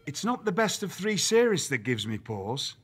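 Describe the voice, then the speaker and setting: An actor playing a male wrestling general manager who speaks in a dry, deliberate, formal way. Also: fairly high pitch, big pitch swings, slightly rough. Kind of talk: video game cutscene acting.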